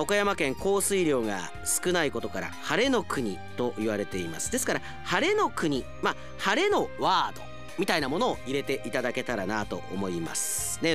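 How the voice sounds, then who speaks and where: A man's voice: medium pitch, fairly bright tone. A man hosting a solo radio show, chatting in a self-deprecating way and reading out listener mail like an announcer. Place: radio studio.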